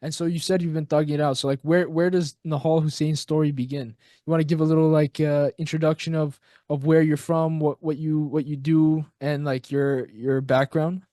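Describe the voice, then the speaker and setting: A young man hosting a podcast, asking measured, reflective questions in casual interview talk. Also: a little high, little pitch movement, warm tone, no echo, clean studio sound. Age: young adult. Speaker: podcast host.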